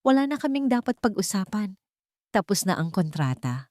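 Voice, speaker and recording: A woman's voice: medium pitch, big pitch swings, moderate pace, darkish tone, no room sound, studio quality, voice pushed up, natural voice. A woman voicing a hurt, guarded female baker in an audio romance, cold and curt.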